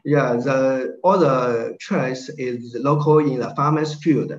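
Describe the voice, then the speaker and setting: A man with a Chinese accent speaks slowly and haltingly on a video call, stopping often with fillers and restarts. The call audio is dull and lo-fi, with a roomy echo around him. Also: medium pitch. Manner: halting, many fillers and restarts, searching for words. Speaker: invited speaker, agricultural researcher.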